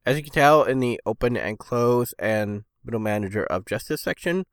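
A man's voice: mid-range voice, moderate pace, clear, resonant tone, no echo, clean studio sound. A man hosting a solo podcast, rambling casually with lots of fillers, his voice stuffed up and congested. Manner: rambling, filler-heavy, easygoing. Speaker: podcast host.

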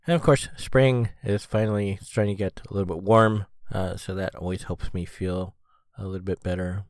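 A man hosting a solo podcast, talking in a rambling, halting way full of fillers, with a sniffly cold and a slightly rough throat. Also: deep voice, steady tone, dry recording. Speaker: podcast host. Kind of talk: casual solo podcast monologue.

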